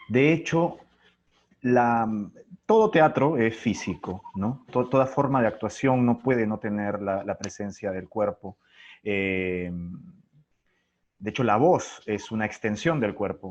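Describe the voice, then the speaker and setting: A man, a director being interviewed, talking reflectively and explaining through examples. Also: medium pitch. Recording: dull lo-fi online call audio.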